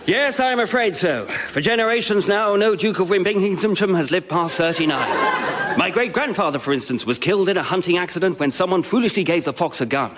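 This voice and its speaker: An actor playing a doomed, hearty aristocratic duke with an upper-class English accent in a radio comedy. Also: male voice, high-pitched, animated, brisk, very noisy. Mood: grave, melodramatic. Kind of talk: radio sketch comedy acting.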